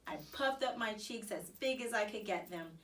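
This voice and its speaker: A woman reading a children's story aloud in an expressive, animated way with dramatic emphasis. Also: medium pitch, moderate pace, distant mic. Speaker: storybook reader.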